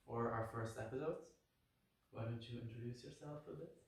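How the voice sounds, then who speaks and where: A man's voice: mid-range voice, fairly quick, distant mic. A man hosting his own channel, introducing a guest and asking questions in an upbeat, slightly hesitant way. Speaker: channel host. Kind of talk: casual interview hosting. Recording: echoey room, voice a bit off-mic.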